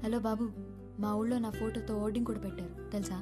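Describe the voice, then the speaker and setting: Quick, teasing young woman in love, acted. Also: fairly high pitch, steady tone, natural voice. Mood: playful, smitten. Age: young adult. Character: lovestruck young village woman, playful and frank. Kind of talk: naturalistic film acting. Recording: music bed under film dialogue.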